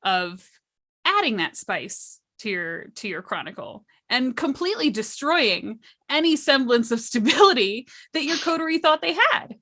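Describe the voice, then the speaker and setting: A woman talking casually as a guest storyteller on a panel, enthusiastic and expressive. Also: a little high, normal speed.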